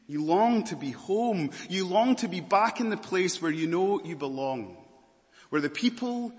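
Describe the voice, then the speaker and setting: A Scottish man preaching a sermon, earnest, moving between emphatic lines and hushed, slower ones. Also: a little high, long reverb tail. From Scotland.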